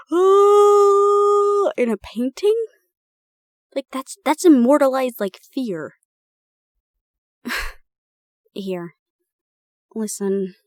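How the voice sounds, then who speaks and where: A woman's voice: on the high side, no echo, clean studio sound. A woman playing a bossy, caring roommate. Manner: brisk and bossy, then soft and soothing. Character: protective, scolding young woman roommate. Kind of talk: improvised ASMR roleplay.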